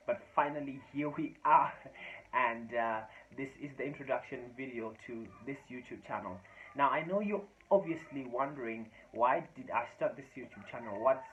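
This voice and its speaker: A man's voice: mid-range voice, fairly bright tone. A man in his twenties whose first language is Shona, giving an earnest motivational talk to his channel's viewers and repeating phrases for emphasis. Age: 20s. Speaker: YouTube channel host. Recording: dull lo-fi sound with faint noise.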